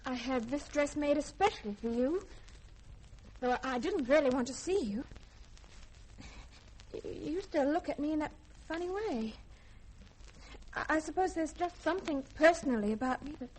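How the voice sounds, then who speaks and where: A sheltered, guileless young woman in a vintage radio play. Her voice is high and her phrasing artless and hesitant, on an old recording with noticeable noise. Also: expressive, normal speed, pauses often, darkish tone, low-quality recording. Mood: wounded, coquettish. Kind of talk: 1950s-style radio drama acting.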